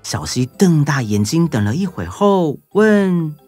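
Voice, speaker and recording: A storyteller narrates for children in a lively, varied voice of medium pitch. Soft music plays underneath. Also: measured pace, husky, dry recording.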